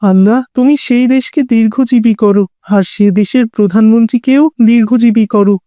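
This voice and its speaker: A woman's voice: on the high side, mellow, no echo, studio quality, natural voice. A woman voicing an earnest, exclamatory young refugee boy in a cartoon story, full of amazement and hope.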